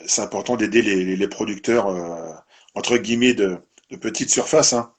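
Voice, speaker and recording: Husky low-voiced French host. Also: male voice, normal speed. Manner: meandering, tags sentences with "hein". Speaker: host of a live winery presentation. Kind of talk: live-streamed interview.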